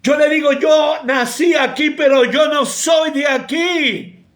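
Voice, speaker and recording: Fervent high-voiced Argentine preacher man. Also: steady tone, slow pace. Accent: Argentine Spanish. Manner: impassioned, rebuking, bursts into shouting. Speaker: pastor. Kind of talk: preaching a sermon.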